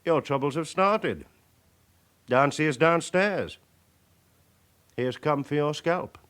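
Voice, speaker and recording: An actor playing a British chief inspector in a radio crime drama, speaking in a dry, formal, measured manner. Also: male voice, fairly high pitch, lively intonation, fairly bright tone. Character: senior police chief inspector, dryly authoritative.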